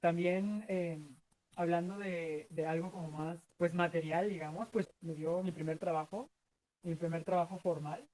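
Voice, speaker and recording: A man's voice: on the high side, steady tone, moderate pace, darkish tone, low-quality recording. A Mexican man in his thirties answering interview questions earnestly, rambling with many fillers and restarts. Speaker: guest.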